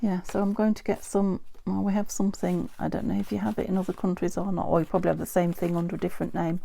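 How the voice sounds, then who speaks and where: Croaky British woman chatting while crafting. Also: on the low side, level tone, quick pace, warm tone. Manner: muttering to herself while working, often dropping to a whisper. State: croaky from a cold. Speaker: crafting channel host.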